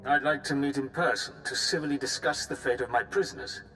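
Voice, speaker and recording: An actor playing a smug, menacing baron in a video game, formal and condescending, with civil politeness over threat. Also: male voice, medium pitch, fairly quick, pushed and bright, faint music behind, poor audio. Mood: smug, threatening. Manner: smooth-talking, condescending, formal. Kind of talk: cinematic video game villain acting.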